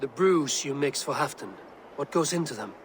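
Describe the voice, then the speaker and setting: A man voicing a serious, watchful Viking warrior in a video game, measured and quietly questioning. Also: mid-range voice, lively intonation, close to an everyday voice. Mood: probing. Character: steady, watchful Viking warrior, loyal and probing. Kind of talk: video game cutscene acting. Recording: long echoing reverb, faint ambient noise.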